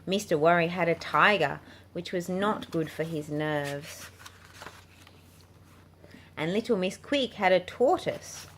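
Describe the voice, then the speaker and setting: A woman's voice: on the low side, animated, takes it slowly, pauses often. A woman reading a picture book aloud to children as a storybook narrator. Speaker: children's book reader and channel host. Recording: clearly noisy home recording.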